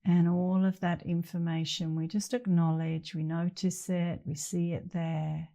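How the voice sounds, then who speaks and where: A middle-aged woman leading a guided meditation as an instructor, speaking gently and leaving long pauses between phrases. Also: lowish voice, little pitch movement, creaky.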